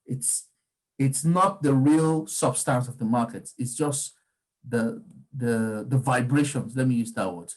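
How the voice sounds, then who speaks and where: A man's voice: mid-range voice, unhurried. Man explaining markets. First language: Yoruba. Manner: discursive, frequent ums and restarts. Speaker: crypto market commentator. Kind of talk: casual explanatory talk.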